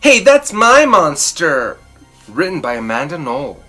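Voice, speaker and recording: A woman storyteller reading a children's picture book aloud expressively, with dramatic, drawn-out emphasis. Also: sits low, big pitch swings, fairly bright tone, faint music behind.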